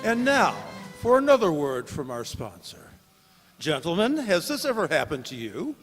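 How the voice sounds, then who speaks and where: A man plays an earnest radio pitchman reading a mock commercial. His voice sits a little high and moves with lively emphasis. It carries a long hall echo. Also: normal speed, soft background music. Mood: earnest, salesmanlike. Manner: salesmanlike, emphatic. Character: earnest old-time radio sponsor announcer. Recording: echoey reverberant hall.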